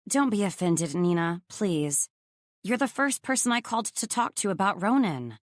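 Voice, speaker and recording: A woman's voice: medium pitch, very animated, moderate pace, no room sound, studio quality, lightly acted. A woman voicing an earnest, guarded young heroine in audiobook dialogue, sincere and a little unsure.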